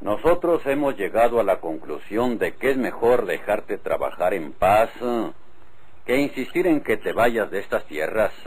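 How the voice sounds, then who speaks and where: Husky, animated Mexican ranchman. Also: on the low side, unhurried, exaggerated voice, poor audio. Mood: conciliatory. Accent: northern Mexican. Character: authoritative, conciliatory ranch-country spokesman. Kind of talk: old-time radio drama acting.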